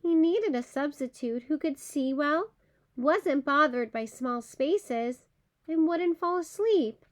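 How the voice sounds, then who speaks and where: A woman narrating a children's story aloud in an expressive storytelling style. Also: high voice, takes it slowly.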